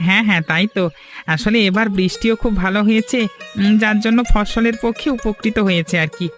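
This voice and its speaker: Cartoon village farmer male actor, high voice, humble and earnest, faint music behind. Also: fairly even intonation, a little fast, fairly bright tone. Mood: content, earnest. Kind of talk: Bengali fairy-tale cartoon dialogue.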